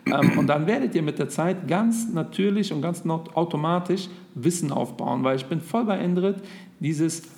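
A man in his thirties co-hosting a casual podcast, talking loosely and filling pauses with lots of hesitations. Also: fairly high pitch, creaky, a little mellow. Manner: chatty, with many hesitations. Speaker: podcast co-host.

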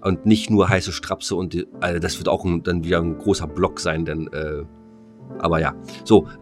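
A man's voice: low voice, fairly even intonation, moderate pace. A man co-hosting a podcast in casual, slangy, rambling and jokey banter. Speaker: podcast co-host. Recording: music playing under the voice.

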